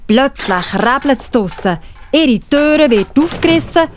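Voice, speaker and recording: A woman's voice: sits high, lively intonation, a little slow, noisy background. A woman with a Swiss German accent narrating a scripted story for children.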